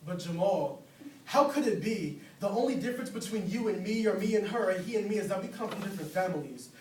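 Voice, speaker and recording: A man's voice: sits high, animated, fast talker. A man performing slam poetry as a spoken word poet, with a rhythmic, rhyming, declamatory delivery. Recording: roomy, distant mic, faint noise.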